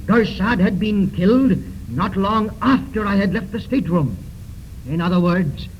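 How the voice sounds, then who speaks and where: An actor playing a tense, resolute detective who narrates his case theatrically in a 1930s radio mystery serial. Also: high-pitched. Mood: brooding, deliberate. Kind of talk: 1930s radio drama first-person narration. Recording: muffled, noisy 1930s radio recording.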